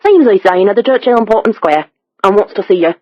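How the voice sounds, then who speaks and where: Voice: medium pitch, lively intonation, fast talker, no room sound, highs cut off, pitched above the performer's own voice, close to an everyday voice. A narrator voicing a small, wiry, suspicious Cockney man who talks in terse, guarded slang. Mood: suspicious, gruff. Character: small wiry suspicious Cockney male messenger, hard and sneering.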